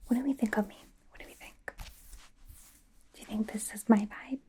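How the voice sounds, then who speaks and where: A woman's voice: a little high, steady tone, moderate pace, warm tone. A woman playing a bubbly, devoted young K-pop idol and stylist in an ASMR roleplay, whispering in a gushing, rambling, playful way. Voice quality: breathy whisper.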